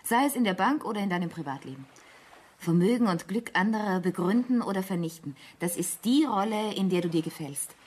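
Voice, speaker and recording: A woman's voice: mid-range voice, expressive, brisk, a little mellow, breathy edge. An actress playing a proud, distrustful, desperate woman in her thirties in a radio drama, with an intense, pleading manner. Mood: cold, defiant. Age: 30s.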